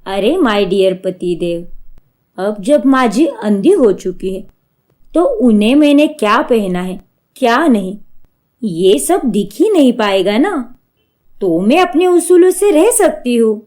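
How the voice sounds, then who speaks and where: Woman doing a sly young cartoon daughter-in-law, high voice, theatrical and wheedling, not rushed. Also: sing-song, a little mellow. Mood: smug.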